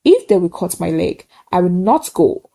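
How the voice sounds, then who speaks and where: A woman's voice: lowish voice, big pitch swings, normal speed, creaky, dark tone, full character voice. A woman voicing a proud, stubborn widow who cooks at market, speaking in short insistent declarations.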